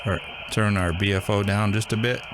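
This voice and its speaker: A man with a low-pitched voice presents a casual, hands-on tutorial. He talks informally, thinking aloud as he demonstrates, like a host showing viewers around a device. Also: fairly even intonation, moderate pace, noisy background. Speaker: host of a radio how-to channel.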